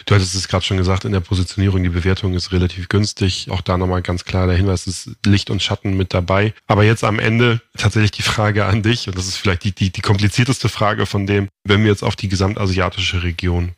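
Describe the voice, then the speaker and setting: A man's voice: low voice, level tone, fast, hardly any pauses, dry recording, clean studio sound. A man hosting a conversational interview, summing up and putting questions to his guest. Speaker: interviewer, host.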